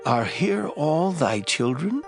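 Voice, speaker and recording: Stern prophet Samuel, dramatically swooping delivery. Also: male voice, fairly high pitch, sing-song, slow pace, creaky, full character voice. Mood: grave. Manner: declamatory, solemn, emphatic. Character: Samuel, stern, grave old male prophet. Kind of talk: dramatized scripture reading. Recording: prominent music bed behind voice.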